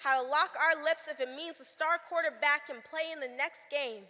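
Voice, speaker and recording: A teenage young woman performing spoken word poetry with emphatic, deliberate delivery. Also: high voice, sharp tone. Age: teens. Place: live stage performance in a hall. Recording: reverberant hall.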